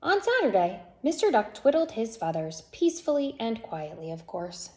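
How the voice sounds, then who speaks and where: A woman reading a children's picture book aloud as an expressive storyteller, with deliberate, dramatic phrasing. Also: on the high side. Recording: echoey room with faint noise.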